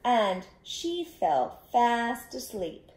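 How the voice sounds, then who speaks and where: A middle-aged woman telling an interactive story to young children, expressive and instructive, inviting them to join in, recorded in a living room. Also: fairly high pitch, very slow, reverberant. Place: small living room, indoors. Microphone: camera a short distance away.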